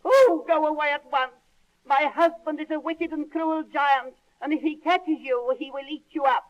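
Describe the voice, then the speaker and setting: A man's voice: extremely high pitch, wildly swooping pitch, extremely slow, creaky, highs cut off, pitched above the performer's own voice, heavily acted. A man voicing the giant's frightened wife in a storybook reading, breathless and fearful.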